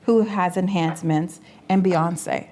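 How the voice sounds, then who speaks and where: A woman's voice: fairly low pitch, fairly even intonation, unhurried, dark tone, slight background noise. A Canadian woman in her forties, co-hosting a casual panel chat, earnest and stumbling over repeated words as she makes her point. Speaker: co-host.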